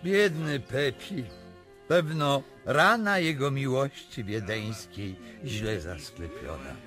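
A man's voice: mid-range voice, expressive, slow, fairly bright tone. An actor playing a weary, self-absorbed, anxious king in a radio drama. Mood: weary, fretful. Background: music.

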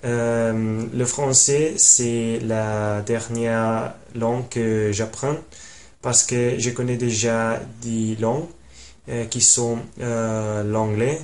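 Halting young Italian man, slow and flat. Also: mid-range voice. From Italy. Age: 20s. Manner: halting, many pauses and fillers. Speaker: language learner. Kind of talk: casual self-introduction. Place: small room at home.

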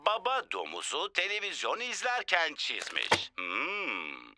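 A showy cartoon potato presenter. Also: male voice, a little high, a little slow, exaggerated voice. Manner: showy and animated. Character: cheerful potato TV star, showy. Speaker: TV presenter character. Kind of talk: cartoon voice acting.

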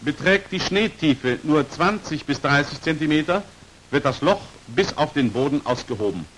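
A man's voice: on the high side, lively intonation, normal speed, fairly bright tone, low-quality recording. A man narrating an old 1940s instructional film in a precise, formal manner, on an aged film soundtrack. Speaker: narrator of a military training film. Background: hiss.

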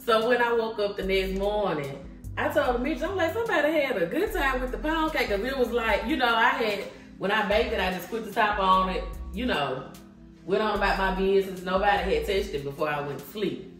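A woman's voice: medium pitch, expressive, fairly quick, fairly bright tone. A middle-aged woman chatting casually in a folksy, colloquial way, as host of a family vlog. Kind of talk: casual kitchen vlog chat. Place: home kitchen. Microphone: camera on tripod at a distance. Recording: roomy echo, distant mic, background music.

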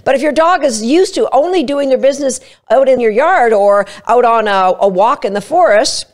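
A woman's voice: fairly high pitch, expressive, normal speed, a little mellow. A woman in her fifties, a dog trainer, giving chatty, emphatic travel tips straight to camera at a studio microphone. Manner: chatty, emphatic, brief quoted asides. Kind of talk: casual instructional talk to camera. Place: small studio room indoors. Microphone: studio mic in front of her.

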